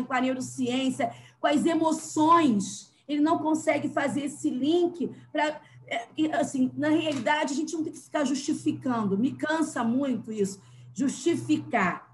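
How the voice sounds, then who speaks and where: A woman's voice: high-pitched, a little hiss or hum. A Brazilian woman speaking as a guest educator in a discussion, with an emphatic manner. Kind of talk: earnest explanatory discussion.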